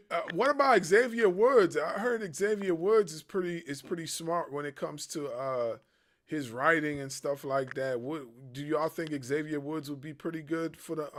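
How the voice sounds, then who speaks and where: A man in his forties hosting a casual chat, animated, laughing often and repeating his phrases. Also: a little high, a little slow. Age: 40s.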